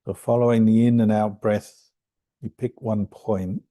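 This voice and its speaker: A man guiding a meditation as a monk and teacher, speaking calmly and gently with unhurried pauses between phrases. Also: on the low side, no room sound. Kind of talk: guided meditation instruction.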